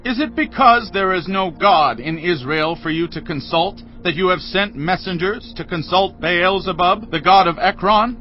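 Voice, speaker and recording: An actor playing a stern prophet who delivers solemn, declaiming pronouncements. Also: male voice, high-pitched, normal speed, hardly any pauses, lightly acted. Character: stern aged prophet, commanding man. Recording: muffled audio under music.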